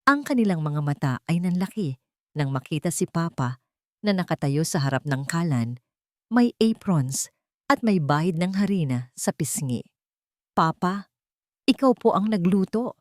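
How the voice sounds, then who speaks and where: A woman with a low voice narrates a dramatic story. Her pitch moves expressively through short, deliberate phrases, earnest and sentimental. The recording is dry and close, with no room sound. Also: expressive, a little mellow, no echo.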